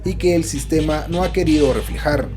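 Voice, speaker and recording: A man giving scripted documentary narration in a formal, even voice. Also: fairly high pitch, a little fast, music in the background.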